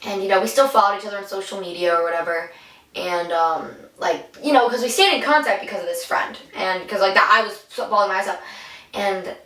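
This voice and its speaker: A young woman rattles off a rambling story at high speed, her voice bright and a little high. She swears freely. She sits back from the mic in a bathtub, so the bathroom rings around her. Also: a little fast. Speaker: podcast co-host. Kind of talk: casual chat. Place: sitting in a bathtub. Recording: echoey bathroom, mic at a distance.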